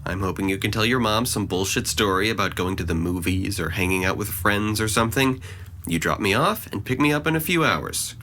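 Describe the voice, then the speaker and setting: Male actor as pedantic, scheming teenage boy: bright low voice, condescending precision, noisy recording. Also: fairly quick. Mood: scheming, sardonic. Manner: articulate, formal phrasing, condescending. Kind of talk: audio drama dialogue.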